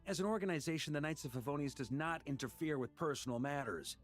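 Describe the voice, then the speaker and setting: A composed grandmaster of knights, acted by a man, speaks low in volume but fairly high in pitch. His delivery is formal and measured, as in a fantasy game, over faint music. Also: close to an everyday voice. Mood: serious. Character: composed, principled grandmaster of knights, hushed and fatherly. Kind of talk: animated video game acting.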